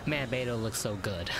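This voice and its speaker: A man's voice: medium pitch, noisy background. A man giving casual, amused reaction commentary while playing a video game. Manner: informal, amused. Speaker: gamer reacting to a story quest. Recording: game music behind the voice.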